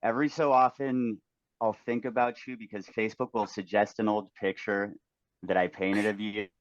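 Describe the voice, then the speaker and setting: A man's voice: on the low side, flat delivery, normal speed, dry recording, muffled recording. A man, speaking as an interview guest in a friendly, easygoing way. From Mexico. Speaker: guest painter. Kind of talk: relaxed interview talk.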